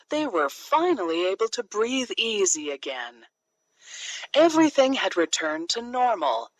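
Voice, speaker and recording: A woman narrating a children's fairy tale from a script, in an expressive, deliberate storytelling manner. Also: lowish voice, no echo, low-quality recording.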